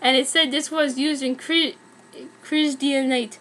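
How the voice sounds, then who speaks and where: High-voiced young woman reading fan fiction. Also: fairly even intonation, a little slow, a bit bright. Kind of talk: dramatic reading of a fan story, played for comedy.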